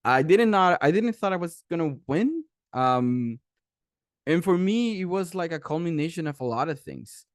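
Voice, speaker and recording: A man's voice: on the high side, moderate pace, no echo, clean studio sound. Rambling young man with a Mexican accent. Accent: Mexican Spanish. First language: Spanish. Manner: animated, rambling, many fillers and restarts. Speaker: guest speaker, animation creator. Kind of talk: casual storytelling presentation.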